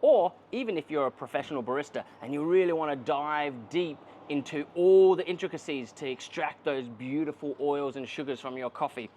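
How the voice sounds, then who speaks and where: A man's voice: on the high side, brisk, hardly any pauses, a little mellow, a little hiss or hum. Chatty Australian man reviewing gear. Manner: enthusiastic, explanatory, chatty. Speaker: coffee reviewer. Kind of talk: product review to camera.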